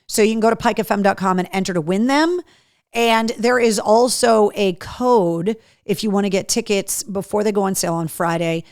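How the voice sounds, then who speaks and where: A middle-aged woman, radio and podcast host, running through concert and event announcements in an upbeat, chatty way with frequent ums. Her voice has grown deeper and worn-in from years of talking. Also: normal speed, a little mellow, dry recording, studio quality. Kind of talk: casual live-show announcements.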